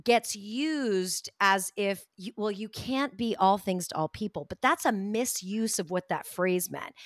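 Expressive middle-aged woman, dry close sound. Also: mid-range voice, a little slow, no echo. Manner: expressive storyteller, animated asides. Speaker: guest, communication coach. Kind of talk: casual interview chat.

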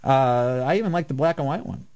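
A man's voice: medium pitch, animated, fairly quick, a little mellow, clear, resonant tone. A male radio co-host debating films casually in a blunt, opinionated and argumentative way.

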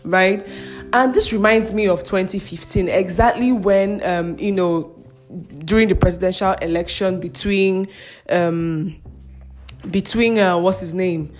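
A woman's voice: medium pitch, steady tone, unhurried, faint music behind. Laughing Nigerian woman podcast host. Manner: chatty, laughs often, mocking asides. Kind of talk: casual news commentary.

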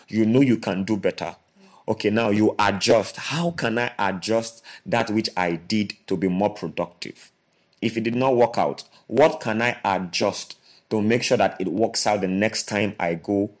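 A man with a fairly low voice talks on a podcast about leading yourself. He is earnest and repeats himself, often stopping and restarting a phrase. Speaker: co-host. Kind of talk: motivational podcast talk.

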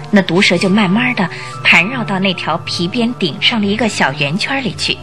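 A woman tells a detective story for a radio drama over clearly heard music. Her medium-pitched voice moves widely up and down, with expressive, suspenseful phrasing. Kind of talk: dramatic scripted storytelling narration. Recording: music under the voice.

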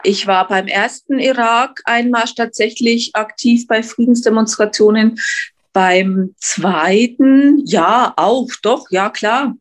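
A woman recalling things in a spontaneous, reflective interview, halting as she thinks aloud. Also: a little high. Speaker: eyewitness interviewee.

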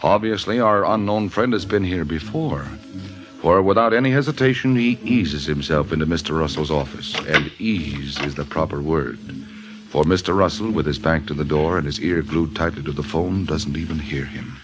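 Debonair detective, 1950s radio: low pitch, wry, slangy, music underneath. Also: male voice, normal speed. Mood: wry, measured. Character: suave, wisecracking male detective narrating his case. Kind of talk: 1950s radio drama acting and narration.

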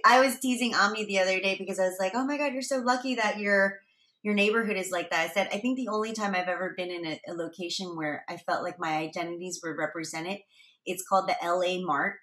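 A woman talking as a guest in a casual conversation, animated and laughing as she tells stories. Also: mid-range voice, fast, echoey room.

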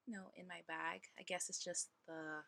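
A woman's voice: on the low side, little pitch movement, moderate pace, vocal fry, sharp tone. A woman in her twenties co-hosting a podcast, chatting casually with playful, slangy banter. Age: 20s. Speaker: podcast co-host.

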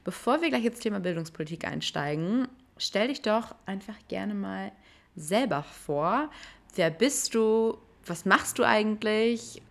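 A young woman hosting a casual interview podcast, friendly and upbeat, with relaxed greetings. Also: mid-range voice, unhurried, gravelly. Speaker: podcast host.

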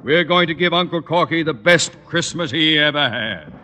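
A man's voice: fairly high pitch, lively intonation, moderate pace, over loud music, lightly acted. An actor playing a blustery, pompous middle-aged father in an old-time radio sitcom, theatrical and grandly sarcastic. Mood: scheming, grand. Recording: noisy vintage radio recording.

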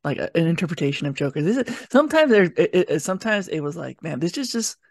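A man's voice: a little high, very animated, quick pace, vocal fry, no echo, studio quality. A young man co-hosting a podcast in a casual review chat, often giggling through his words. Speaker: podcast co-host.

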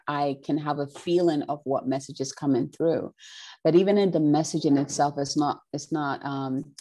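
A woman's voice: deep voice, darkish tone. A woman from Ghana, a spiritual healer, talking in an earnest, casual way as an interview guest, with many "you know" and "right" fillers.

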